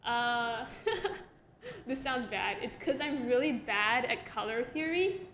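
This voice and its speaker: A woman's voice: a little high, expressive, slow pace, fairly bright tone, a little hiss or hum. Laughing young woman on an echoey stream. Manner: laughs often, self-deprecating, reads out viewer questions. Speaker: webcomic artist answering chat questions. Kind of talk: casual livestream chat. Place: home room while drawing. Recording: echoey room with music behind.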